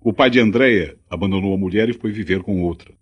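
An actor playing a gentle, resigned, educated drifter in a Brazilian radio drama, with formal diction. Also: male voice, on the low side, quick pace, husky, lo-fi sound. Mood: explanatory.

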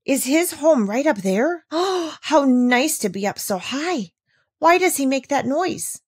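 A woman voicing an excited little girl. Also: a little slow, no echo, clean studio sound. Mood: wondering. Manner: high, eager exclamations.

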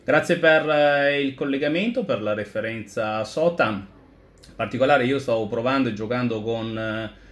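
A man's voice: medium pitch, moderate pace, a bit bright. A man chatting over amateur radio in a courteous, methodical way with hesitant phrasing. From Italy. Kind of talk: amateur radio contact, conversational. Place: indoor home radio station.

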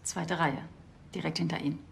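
Eine Schauspielerin spielt eine höfliche, zurückhaltende Angestellte, die vorsichtig und diskret antwortet. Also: female voice, deep voice, steady tone, a little fast, gravelly, slight background noise. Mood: polite. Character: guarded, polite female concert-hall employee.

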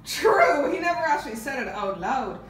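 Young woman as a cheeky servant, animated delivery. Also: high voice, moderate pace. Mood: cheeky, defiant. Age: young adult. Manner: confessional, colloquial. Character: cheeky, unrepentant thieving household cook. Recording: echoey room, distant camera, background noise.

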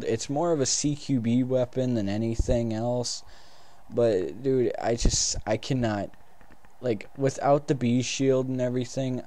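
A young man with a blocked, stuffed-up nose, still getting over a cold, talks through his gameplay in a small room. His voice sits dark and mellow at an ordinary pitch. He rambles casually, restarts his sentences and drops the odd swear word. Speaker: gaming video host.

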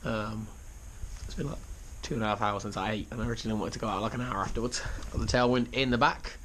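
A man giving casual asides to a handheld camera, his voice slightly croaky after exercise. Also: lowish voice, fast, a bit bright. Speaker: vlogger. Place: home room and outdoors. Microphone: handheld action camera. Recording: noisy action-camera sound.

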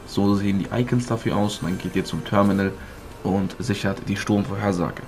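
A man's voice: lowish voice, steady tone, brisk, over music. A man doing walkthrough commentary as a gaming video creator, explaining things step by step to viewers. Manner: explanatory, addresses viewers as friends.

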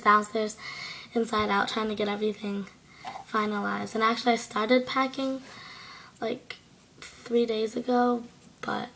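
Hoarse teenage girl, gravelly flat chat. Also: mid-range voice, slight background noise, poor audio. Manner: chatty, rambling, many fillers. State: hoarse from a cold. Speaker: exchange student vlogger. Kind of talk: casual video diary. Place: small bedroom indoors at night. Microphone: laptop webcam at close range.

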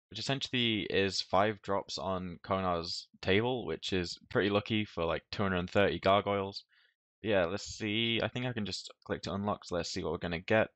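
A man with a low voice and a slight illness talks through his game session in a loose, rambling way, restarting his sentences and swearing casually. The recording is dry and close, with no room sound. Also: fairly bright tone, no echo. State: a little ill. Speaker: game video commentator. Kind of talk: casual gameplay commentary.

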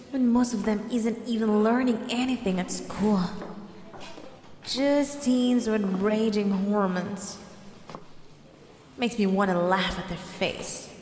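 A woman voicing a possessive, lovestruck teenage schoolgirl in an ASMR roleplay, breathy and often dropping into whispers. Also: a little high, darkish tone, slight background noise. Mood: bitter. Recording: echoey, long reverb, music behind.

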